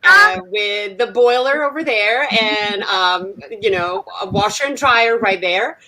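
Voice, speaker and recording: A woman's voice: mid-range voice, lively intonation, slow pace, pushed and bright, rough recording. A middle-aged woman from Serbia, a native Serbo-Croatian speaker, chatting as a singer-songwriter guest from her garage studio, hesitant with many fillers. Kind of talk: casual interview chat.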